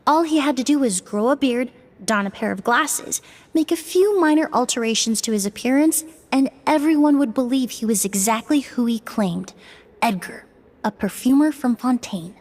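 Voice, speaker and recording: Composed, high-voiced young woman investigator played by an actress. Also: a little mellow, natural voice. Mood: grave, explanatory. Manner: formal, measured, articulate. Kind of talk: scripted video game voice acting.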